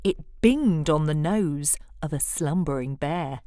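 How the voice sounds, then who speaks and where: A woman storyteller reads a rhyming picture book with playful drama. Her pitch swings widely, and she takes her time over each phrase. The recording is close and dry. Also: animated, a little slow, dark tone, no echo. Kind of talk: expressive rhyming storybook read-aloud.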